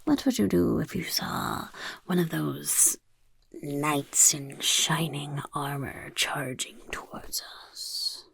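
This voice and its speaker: A woman voicing a sly, self-important goblin overlord who interviews recruits in soft-spoken ASMR roleplay, whispering and talking about itself in the third person. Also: low-pitched, heavily acted. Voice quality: gravelly.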